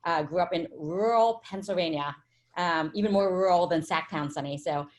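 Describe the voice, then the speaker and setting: A woman's voice: lowish voice, moderate pace. Chatty woman hosting a panel. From Vietnam. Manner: chatty, many fillers and restarts. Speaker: venture capital partner and panel host. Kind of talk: casual panel talk.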